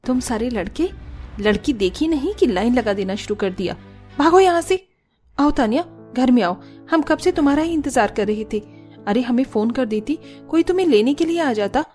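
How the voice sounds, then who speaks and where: Bossy teasing cartoon sister-in-law, high voice, soft music behind. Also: female voice, animated, normal speed. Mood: scolding, protective. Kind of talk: Hindi cartoon voice acting.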